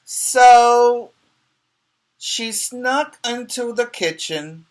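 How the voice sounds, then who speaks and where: A man's voice: very high voice, extremely slow. A man who is a preschool teacher, reading a storybook aloud expressively to young children and explaining it with questions and emphasized key words.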